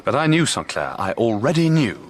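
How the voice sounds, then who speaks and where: An actor playing an earnest, troubled detective burdened by a secret in a radio drama, intense and hesitant. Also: male voice, mid-range voice, big pitch swings, brisk, slight background noise, natural voice. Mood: grave, wistful.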